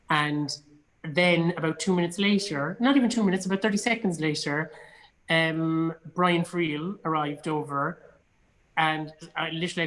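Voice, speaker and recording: A man's voice: on the high side, a bit bright, rough recording. A man in his thirties with a Cork Irish accent, chatting casually and emphatically in an interview, with a self-deprecating way of telling stories. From Ireland. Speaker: interview guest, actor.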